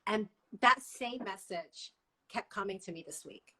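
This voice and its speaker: A woman in her thirties from Hong Kong, hosting a casual video-call interview, warm and affirming in manner. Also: medium pitch, a little slow, a little hiss or hum, low-quality recording. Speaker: host. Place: home room.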